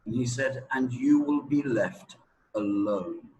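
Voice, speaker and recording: A middle-aged man speaks at a slow pace in a mid-range voice. He talks in an anecdotal way, like a teacher, leaning on emphatic repetition and deliberate pauses. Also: medium pitch, mellow, low-quality recording. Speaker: English teacher being interviewed. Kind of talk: anecdotal spoken talk.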